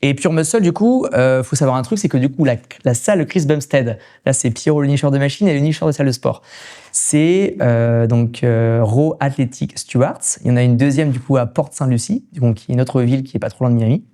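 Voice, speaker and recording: Man, rapid speech, soft dark tone, casual podcast storytelling, English loanwords. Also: mid-range voice. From France. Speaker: podcast guest, gym equipment coach and reseller. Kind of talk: casual anecdotal interview chat.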